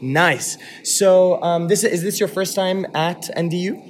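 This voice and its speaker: A man hosting a casual interview, forming his questions haltingly and repeating words as he goes. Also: sits high, slight background noise. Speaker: interviewer, host.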